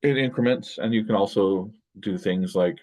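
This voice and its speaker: A middle-aged American man with a deep, low-pitched voice teaches a linguistics class from his desk. He talks in a loose, rambling way, full of fillers and self-corrections. It sounds like a webcam-recorded lecture. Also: moderate pace, darkish tone. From United States. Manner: loose, rambling delivery with many fillers and restarts. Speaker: college linguistics instructor. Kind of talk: recorded lecture over screen share. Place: indoor room at a desk.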